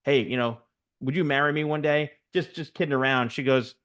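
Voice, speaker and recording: A man's voice: mid-range voice, fairly quick, smooth. Chatty man in his forties. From United States. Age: 40s. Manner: chatty, animated storyteller, many fillers. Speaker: host, barber coach. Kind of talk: casual interview chat.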